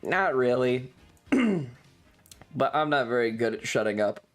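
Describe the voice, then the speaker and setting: Male streamer, slightly tired, unhurried rambling chat with swearing, music underneath. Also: medium pitch, animated. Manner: sweary, self-deprecating. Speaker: livestream host.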